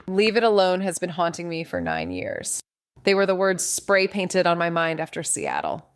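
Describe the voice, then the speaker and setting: Low, close noir haunted woman sleuth. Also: wildly swooping pitch, normal speed, clean tone, no echo. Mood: brooding. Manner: wry, introspective, brooding. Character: haunted, guilt-ridden female amateur sleuth, wry and defensive. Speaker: narrator and lead character of an audio drama. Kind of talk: first-person noir narration and dramatic dialogue.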